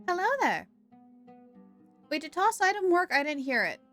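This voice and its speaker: A woman's voice: high voice, very animated, normal speed, fairly bright tone, faint music behind. A young woman streaming a game live, chatting casually and giggling with her viewers.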